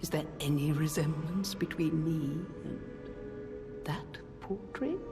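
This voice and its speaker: A woman voicing an elderly, genteel, nostalgic lady in a video game, breathy and reflective. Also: deep voice, takes it slowly, over music. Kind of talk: scripted game drama.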